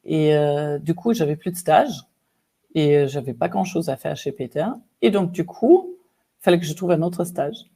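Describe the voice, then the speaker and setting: A woman in her fifties from Germany with a German accent, a coach telling her life story as a podcast guest, lively with many fillers. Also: low-pitched, normal speed, husky. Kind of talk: casual storytelling interview.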